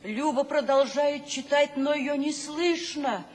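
An actress playing a grave narrator who sets the scene in terse fragments in a radio drama. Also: female voice, high-pitched, slow pace, darkish tone, a little hiss or hum. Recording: long hall reverb.

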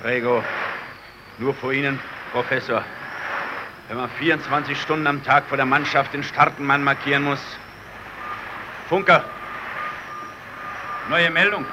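An actor playing a quick-tempered, sarcastic stranded Italian lieutenant in a German radio play, given to barking orders. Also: male voice, mid-range voice, steady tone, close to an everyday voice. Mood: bitter, sarcastic. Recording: noisy old radio drama recording.

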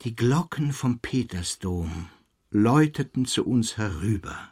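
Husky, very animated male academic. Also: mid-range voice, unhurried. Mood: animated, lecturing. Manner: digressive, professorial, animated. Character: digressive, pedantic male academic recounting a strange conference. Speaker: actor in a radio play. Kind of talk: German radio drama, conversational storytelling.

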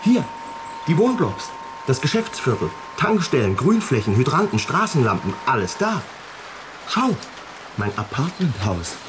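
This is radio drama, with an earnest, inquisitive male doctor. His mid-pitched voice swings widely as he probes and stresses his words. There is distinct noise in the background. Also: sing-song, measured pace. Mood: amazed. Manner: emphatic, probing, earnest. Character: worried, inquisitive doctor, fascinated then alarmed. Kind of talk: German radio play acting.

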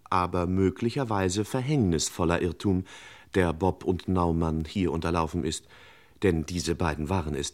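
An actor playing the dry, mock-serious narrator of a vintage radio crime serial. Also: male voice, low-pitched. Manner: mock-solemn, ornate phrasing. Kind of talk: scripted radio play narration.

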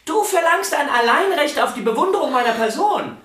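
A woman's voice: high-pitched, animated, fairly quick, fairly bright tone. A woman voicing a vain, proud young violin prodigy in a radio drama, talking in an arrogant, insistent, theatrical way. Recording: roomy studio echo.

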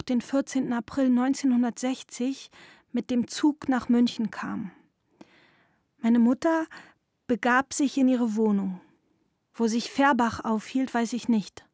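An actress playing an adult daughter giving a measured, matter-of-fact witness statement in a documentary radio play. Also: female voice, a little high, a little mellow, no echo, studio quality, natural voice. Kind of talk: scripted documentary radio play, formal reading.

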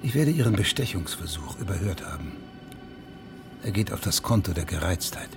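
An actor playing a dogged, formal middle-aged judge in a German radio drama, measured and unyielding. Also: male voice, low voice, lively intonation, music in the background. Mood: firm, measured.

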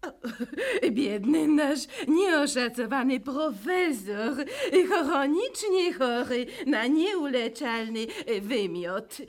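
An actress playing a fussy, snobbish high-society hostess with affected, gushing manners in a satirical radio play. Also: female voice, expressive, drawn-out words, exaggerated voice. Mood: mock pitying.

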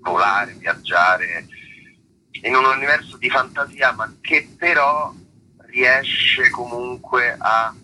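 A man, a stage actor being interviewed, explaining at length in a halting way, searching for words and rephrasing as he goes. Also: medium pitch, bright, forceful tone, a little hiss or hum, rough recording. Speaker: stage actor presenting his play.

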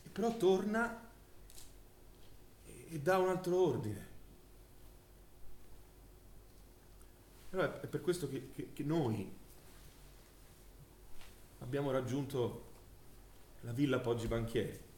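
An actor playing a distraught survivor of a wartime massacre, testifying in a halting theatrical monologue that swings from hushed to loud. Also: male voice, medium pitch, lively intonation, roomy sound, a little hiss or hum, close to an everyday voice. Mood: subdued. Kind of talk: theatrical monologue in a radio-broadcast stage play.

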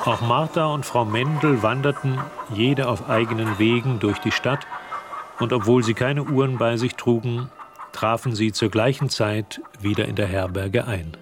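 An actor playing a composed storyteller, reading scripted narration in a radio drama. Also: male voice, medium pitch, normal speed, music in the background, close to an everyday voice.

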